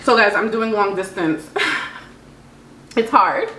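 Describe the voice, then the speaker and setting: A woman's voice: mid-range voice, expressive, slow pace, sharp tone. A young woman talking casually and conversationally, with slangy everyday phrasing. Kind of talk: casual chat. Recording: echoey room with faint noise.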